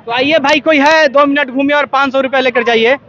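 A young man, his voice sitting very high, chats and teases his way through a fair vlog. He talks into a handheld mic outdoors, and the sound is dull and lo-fi with a loud crowd all around. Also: fairly even intonation, fairly quick, background noise. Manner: chatty, teasing, laughs often. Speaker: vlogger host. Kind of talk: lively on-the-spot fair commentary.

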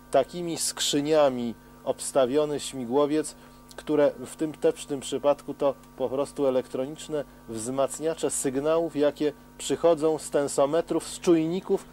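A middle-aged man explaining helicopter testing as a TV presenter, in a measured way with frequent hesitations. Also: mid-range voice, warm tone. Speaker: TV science presenter. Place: outdoors at a helicopter test stand.

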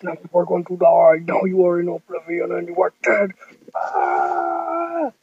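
Someone putting on a deep, gruff, boastful Jesus. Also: sing-song, darkish tone, no echo, far from the mic, lo-fi sound, full character voice. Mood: menacing. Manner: gruff, rapid boasting threats. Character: deep-voiced boastful male Jesus figure with magic beams.

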